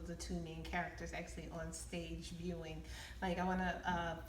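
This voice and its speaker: A woman with a slightly low voice answers interview questions casually and candidly. She speaks effusively as a creator discussing her work. The recording is roomy, with the mic at a distance. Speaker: playwright and producer being interviewed. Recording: echoey, distant mic, faint room noise.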